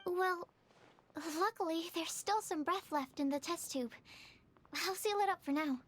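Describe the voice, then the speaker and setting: A woman playing a gentle, shy young female researcher in a video game, speaking earnestly and a little hesitantly. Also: very high voice, unhurried. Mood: hopeful. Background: music.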